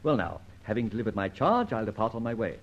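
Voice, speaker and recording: An actor playing a jaunty, cheeky gentleman rogue with theatrical delivery in an old-time radio serial. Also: fairly low pitch, sing-song, fast talker, dull audio. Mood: jaunty, teasing. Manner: theatrical, breezy, muttering asides to himself.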